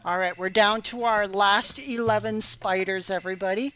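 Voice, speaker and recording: A woman streaming a game tutorial in casual chat, her voice going hoarse after hours of talk, rambling and apologetic with many fillers. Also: medium pitch, fairly even intonation, moderate pace, fairly bright tone, soft background music. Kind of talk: casual live-stream commentary.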